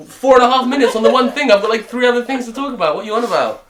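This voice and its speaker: A man's voice: very high voice, racing, a bit bright. A man in his twenties from Romania, a comedian guest chatting casually and joking in a rambling way. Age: 20s. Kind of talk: casual chat.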